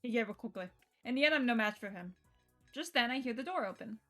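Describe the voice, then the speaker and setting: Animated, higher-voiced woman streaming a game. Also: a little fast. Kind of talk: reading game narration aloud with casual reactions. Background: game music.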